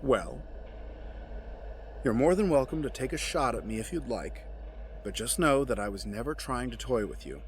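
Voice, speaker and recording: An actor in an audio drama playing a cold, courteous, calculating crime boss with formal, polished phrasing. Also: male voice, medium pitch, very animated, normal speed, pauses often, slight background noise, lightly acted. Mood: calm, revealing. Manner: formal, urbane, elaborate wording.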